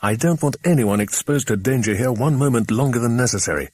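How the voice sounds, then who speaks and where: A man voicing a brisk, hearty, commanding English man in an audiobook. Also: medium pitch, darkish tone, lightly acted. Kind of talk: audiobook character voice.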